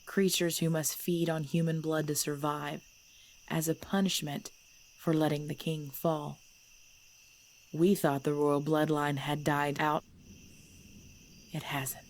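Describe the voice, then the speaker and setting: A woman playing a centuries-old female vampire clan leader in an ASMR audio drama, composed and commanding, speaking in measured, formal phrases. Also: low-pitched, little pitch movement. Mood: grave. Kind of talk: ASMR audio roleplay acting. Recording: background noise with chirping crickets.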